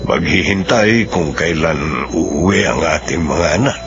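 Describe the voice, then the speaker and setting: A reflective older man in a radio drama, calm and sympathetic. He speaks slowly in a deep voice, in dull sound with loud music behind. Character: calm aging male friend, father, reflective and sympathetic. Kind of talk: Filipino radio drama acting.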